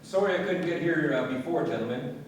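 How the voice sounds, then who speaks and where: A man's voice: a little fast, lightly acted. A man playing a businesslike insurance assessor in a radio play, formal, clipped and urgent. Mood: harried. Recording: very echoey, distant, rumbling noise.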